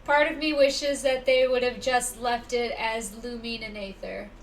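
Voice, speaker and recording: High-voiced, slow-talking woman streamer. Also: recorded from a distance. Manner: animated interjections, talking back to the game. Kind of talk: casual live game reaction.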